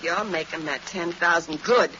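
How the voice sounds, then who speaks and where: A woman playing a bitter, defiant gangster's moll, forceful and slangy, in an old-time radio crime drama. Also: fairly low pitch, normal speed, close to an everyday voice. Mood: defiant threatening. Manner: slangy, forceful accusing talk. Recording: noisy, muffled vintage radio recording.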